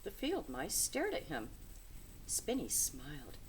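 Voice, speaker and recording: A woman reading a children's picture book aloud with dramatic, deliberate phrasing, speaking to young listeners. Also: low-pitched, rough recording. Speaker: read-aloud storyteller. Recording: steady background noise.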